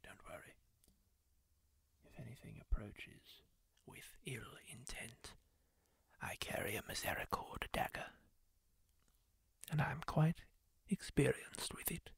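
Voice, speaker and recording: A man doing a whispered roleplay, voicing a kindly former war surgeon who soothingly tends a wounded stranger, gentle and reassuring up close to the mic. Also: medium pitch, lively intonation, gravelly, breathy edge, no room sound, full character voice. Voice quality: soft whisper, slow and soothing. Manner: gentle, reassuring, asks permission. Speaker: ASMR roleplayer.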